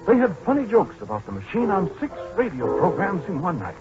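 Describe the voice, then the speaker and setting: Muffled vintage radio sound with music frames a flustered middle-aged American scientist. His rather high voice swings widely as he frets, hesitates and complains in excitable 1940s radio drama style. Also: fairly quick. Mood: aggrieved, despairing.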